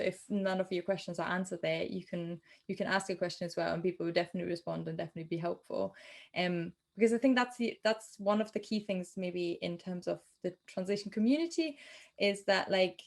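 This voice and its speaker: A woman's voice: on the low side, brisk, gravelly. A young adult woman from Germany, a native German speaker with a German accent, answering interview questions about translation in a chatty way with many ums and restarts. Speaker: translator guest.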